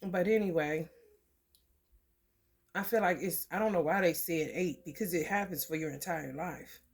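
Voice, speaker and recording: A woman's voice: fairly low pitch, normal speed. Casual woman with a scratchy throat. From American Midwest. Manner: loose and profane, with laughs and frequent throat clearing. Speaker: tarot reader. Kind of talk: casual spiritual reading to camera.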